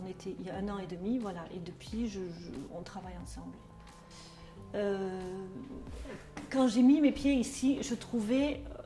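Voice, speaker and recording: A middle-aged woman with a German accent talks in a hesitant, searching way, full of pauses and restarts. Her voice is soft and mellow. The room is echoey, with faint music underneath. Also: mid-range voice. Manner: hesitant, many fillers and restarts. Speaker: trainer at a social work school. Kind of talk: testimonial interview.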